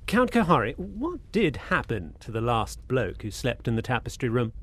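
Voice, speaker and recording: Breezy young lord. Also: male voice, medium pitch, sing-song, moderate pace, fairly bright tone, breathy edge. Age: young adult. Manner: polite, breezy, incredulous. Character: breezy, sceptical young English lord and suitor. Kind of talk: suspense audio drama acting.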